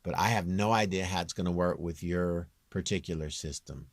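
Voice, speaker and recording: A man with a very low, near-bass voice giving a casual, off-the-cuff software walkthrough in a rambling, plain-spoken, self-deprecating way. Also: normal speed, no room sound. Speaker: home-recording singer giving a tutorial.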